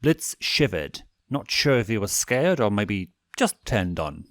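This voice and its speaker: A man's voice: on the low side, sing-song, unhurried, fairly bright tone, no echo, studio quality. A man narrating fan fiction as a scripted, dramatic audiobook reading.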